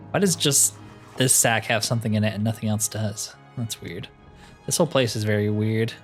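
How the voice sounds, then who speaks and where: A man's voice: fairly low pitch, moderate pace. A man hosting a game playthrough with casual, informal commentary, thinking aloud as he plays. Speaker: host of a game roleplay series. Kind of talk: casual let's play commentary. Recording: music behind the voice.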